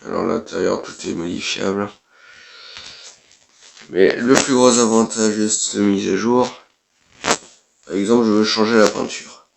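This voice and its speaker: A man's voice: fairly low pitch, fairly even intonation, measured pace, vocal fry, long pauses, slight background noise. A man, who has a cold, giving casual, blunt let's play commentary as a gaming YouTuber and swearing offhandedly.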